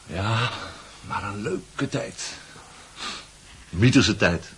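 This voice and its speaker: A man's voice: fairly low pitch, lively intonation, extremely slow, a little hiss or hum. A man playing a breezy, boastful teenage schoolboy in a Dutch radio play, chatty and full of worldly-wise airs. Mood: nostalgic.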